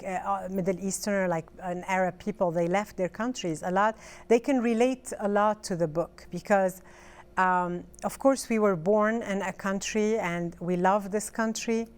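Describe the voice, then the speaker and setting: A woman's voice: mid-range voice, moderate pace, smooth. A middle-aged woman from Lebanon, French her first language, chatting as an author in an interview, with hesitant speech and frequent restarts. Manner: hesitant, restarts often, fillers. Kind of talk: casual interview chat.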